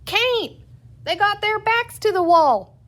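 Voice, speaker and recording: A woman voicing angry little green men in a storybook, shouting old-west cowboy slang. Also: very high voice, lively intonation, slow pace, voice pushed up, full character voice. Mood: urgent.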